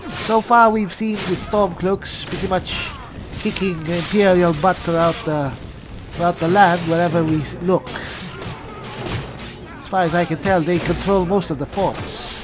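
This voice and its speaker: A man's voice: sits high, steady tone, measured pace. A man doing in-character gameplay commentary, musing to himself in short remarks. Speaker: gamer narrating a roleplay playthrough. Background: music behind the voice.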